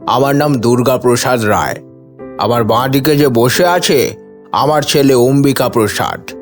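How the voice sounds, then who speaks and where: An actor playing an elderly, proud and hospitable family patriarch with a stately, authoritative delivery in an audio story. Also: male voice, mid-range voice. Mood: dignified welcome. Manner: slow, stately, authoritative speech. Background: background music.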